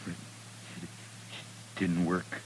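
A broken, desperate American gunman in an old radio Western. The voice is very deep and drags slowly through halting, stammered phrases, with a faint hum behind it. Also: monotone. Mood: broken, pleading. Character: broken, desperate drunken gunman who lost his nerve. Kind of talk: 1950s Western radio acting. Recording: humming, noisy old radio recording.

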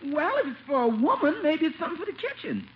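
An actress playing a cheerful, folksy household cook in a 1950s radio comedy. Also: female voice, high-pitched, big pitch swings, fast. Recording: muffled old radio broadcast, faint noise.

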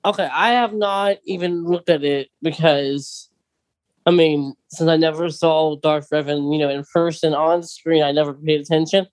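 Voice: medium pitch, steady tone, moderate pace, low-quality recording. A podcast co-host chatting casually and conversationally about fandom news, often putting questions to a partner.

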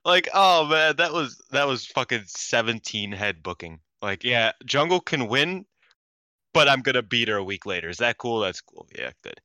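Congested nasal man, bright and dry. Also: medium pitch, moderate pace, dry recording. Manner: animated, jokey, swears casually. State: congested, sick. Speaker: podcast co-host. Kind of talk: casual chat.

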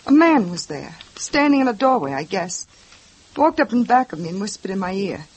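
A woman's voice: medium pitch, very animated, moderate pace, darkish tone, breathy edge, poor audio. An actress playing a frightened, bruised young woman who answers a police officer in a tired, defensive way, in a 1950s radio drama. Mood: shaken.

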